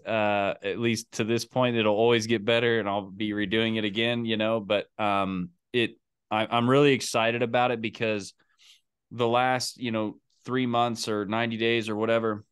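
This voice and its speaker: A man's voice: mid-range voice, a bit bright, clean tone, no room sound, clean studio sound. A middle-aged man chatting casually as the host of a coaching call, indoors on a video call. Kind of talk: casual chat. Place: indoor home office.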